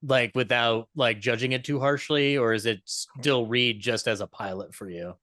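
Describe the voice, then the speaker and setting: A man's voice: medium pitch, fairly even intonation, normal speed, pushed and bright, dry recording, studio quality. A man hosting a casual panel conversation with a playful, enthusiastic manner. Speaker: host, moderator.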